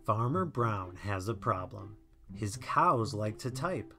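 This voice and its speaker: Female storybook narrator, very low register, expressive read-aloud delivery over soft music. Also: takes it slowly.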